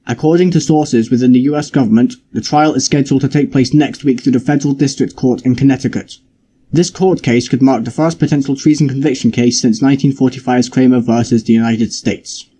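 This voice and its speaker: A man acting as a serious male news presenter in a game broadcast. His tone is very dark and mellow at an average pitch, and he reads the scripted report formally and with measured delivery. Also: natural voice. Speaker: news correspondent.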